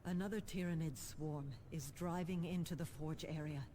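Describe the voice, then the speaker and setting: A woman playing a composed, determined government administrator in a sci-fi video game, formal and crisp with dry irony. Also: sits low, steady tone, moderate pace, mellow, a little hiss or hum, close to an everyday voice. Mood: grave, urgent. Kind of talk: scripted video game acting.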